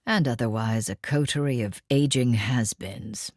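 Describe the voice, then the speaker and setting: A woman's voice: very deep voice, unhurried, a little mellow, no room sound. An actress playing a wry, sceptical woman who comments in an audio drama with dry understatement. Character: wry, deep-voiced female commentator, drily sceptical. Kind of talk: scripted audio drama narration.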